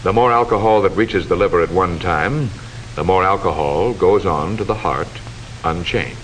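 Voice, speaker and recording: Deep-voiced man narrating a hissy old educational film. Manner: formal, measured, instructive. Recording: noisy, hissy 1940s film soundtrack.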